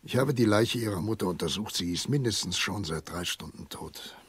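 An actor playing a grave, kindly elderly family doctor in a radio play, speaking in a measured, husky voice. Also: male voice, fairly low pitch, animated, no echo. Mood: grave, insistent. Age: older. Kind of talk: German radio play acting.

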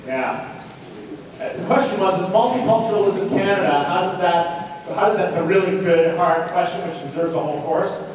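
High-voiced male lecturer in an echoing hall. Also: moderate pace. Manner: animated, rhetorical questions and check-ins. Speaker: university lecturer. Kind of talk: conversational lecture with questions to students. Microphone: distant room mic. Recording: roomy echoing lecture hall, distant mic, noisy.

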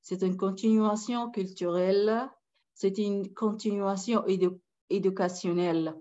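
A woman's voice: mid-range voice, fairly even intonation, measured pace, mellow. Middle-aged woman from sudan, emphatic, says things twice, muffled video call sound. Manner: emphatic, repeats key phrases. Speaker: guest speaker. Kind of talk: conference talk. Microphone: webcam on a video call.

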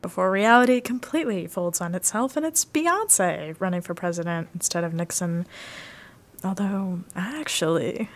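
A woman's voice: fairly low pitch, moderate pace, natural voice. A young woman acting as a frank female college student in an audio drama. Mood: friendly, frank. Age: young adult. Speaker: student actor.

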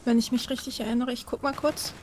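A woman's voice: fairly high pitch, steady tone, quick pace, a little hiss or hum. A young woman chatting casually through a co-op video game, hesitantly thinking aloud as she goes. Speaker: let's player. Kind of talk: casual co-op gaming chat.

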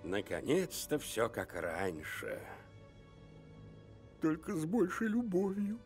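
Gruff, soft-hearted baker, wildly swooping pitch. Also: male voice, huge pitch swings, slow pace, soft background music, exaggerated voice. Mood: touched. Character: grumpy but soft-hearted older male pastry baker. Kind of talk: animated film dub acting.

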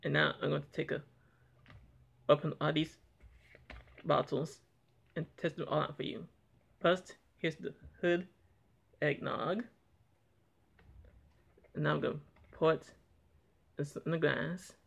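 A young man talking plainly and a little haltingly as he reviews eggnog on a home vlog, filmed by a camera on the table in a small room. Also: on the high side, steady tone, vocal fry, fairly bright tone. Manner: plain, unhurried, slightly halting talk. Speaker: product reviewer. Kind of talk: casual taste-test vlog. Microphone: camera on table in front.

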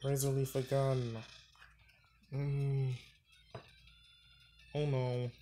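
Slow, mellow-voiced man playing a game. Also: medium pitch, completely flat delivery, slight background noise. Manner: thinking aloud, mutters, sighs. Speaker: gaming channel host. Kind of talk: casual let's-play commentary. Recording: faint game music behind.

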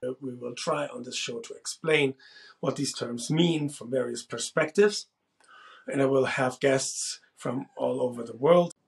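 A man's voice: mid-range voice, measured pace, creaky. A man in his forties, the host, talking thoughtfully to camera from a small room, often restarting his sentences. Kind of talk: casual monologue to camera.

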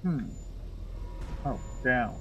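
A man streaming a game, nursing a cold, musing slowly as he plays. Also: mid-range voice, animated, fairly bright tone, dull audio, faint music behind. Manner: slow, musing, drawn-out remarks. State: has a cold. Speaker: gaming streamer. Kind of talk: let's play commentary.

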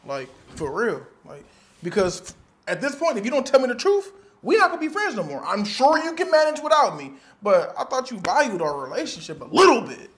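A man's voice: very high voice, big pitch swings, a bit bright, exaggerated voice. A man playing a sassy, dramatic best friend who feels betrayed, in a comedy skit. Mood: teasing. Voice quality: sassy, theatrical, drawn-out delivery.

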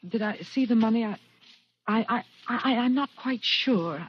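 An anxious young woman in a 1940s radio thriller, urgent and determined. Her voice is husky and she trips over words. The recording is dull and old. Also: fairly high pitch, moderate pace. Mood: frightened insistence. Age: young adult. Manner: breathless, stammering, hushed urgency.

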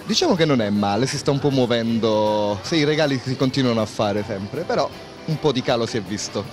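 A man's voice: mid-range voice, expressive, brisk. Casual man with faint music behind. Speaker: interviewee. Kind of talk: casual interview answers. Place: indoor shopping mall.

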